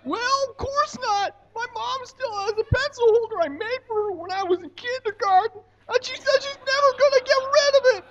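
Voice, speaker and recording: A man voicing a naive, excitable, childlike boy puppet in a squeaky voice at a live comedy show. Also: normal speed, muffled recording. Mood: innocent, surprised. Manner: squeaky, exclamatory, gullible. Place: small indoor stage.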